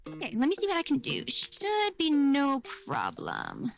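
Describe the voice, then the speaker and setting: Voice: sits high, huge pitch swings, gravelly, soft background music, pitched above the performer's own voice, full character voice. A young vlogger voicing a polite but rigid female bank clerk who sticks strictly to policy. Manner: brisk, by-the-book politeness.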